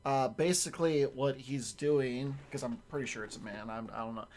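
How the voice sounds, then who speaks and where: A young man with a strained, raspy voice, chatting casually on his stream as a voice actor and rambling with lots of restarts and the odd swear. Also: medium pitch, normal speed, a little mellow, slight background noise.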